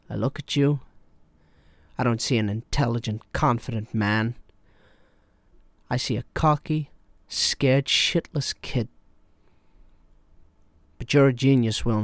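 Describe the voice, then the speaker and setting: A man playing a weary, wounded therapist in a film drama monologue, speaking in a measured, pointed and confrontational manner. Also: mid-range voice, no room sound, close to an everyday voice. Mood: confrontational, intense.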